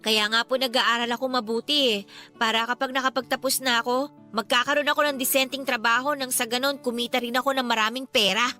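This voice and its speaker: An actress playing an outspoken, fiery grown daughter in a Filipino radio drama, speaking bluntly and emphatically but with respect. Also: female voice, on the high side, fairly even intonation, quick pace, bright, forceful tone. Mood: playful, cheerful. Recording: studio drama with music behind.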